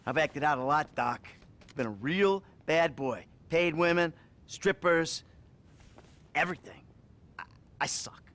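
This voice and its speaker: A man's voice: fairly high pitch, lively intonation, a little slow, pauses often, a bit bright. An actor playing a troubled middle-aged American criminal confessing to his therapist, hesitant, sighing and sardonic, in a naturalistic video game style. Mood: self-loathing.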